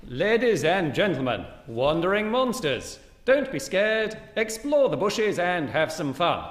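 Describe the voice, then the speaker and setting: A man's voice: high voice, big pitch swings, a little slow. A man playing a showy barker in a radio drama, proclaiming to the crowd in announcer's patter. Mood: showy, excited. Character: showy barker announcing monsters and free entertainment. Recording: echoey hall, long reverb.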